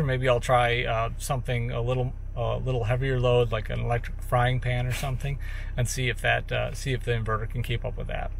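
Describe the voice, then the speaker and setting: A man in his thirties giving a casual hands-on gear demo, calm and matter-of-fact, with many uhs and restarts. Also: medium pitch, gravelly, slight background noise. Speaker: vlogger testing gear. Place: outdoors by a lake, later inside a vehicle.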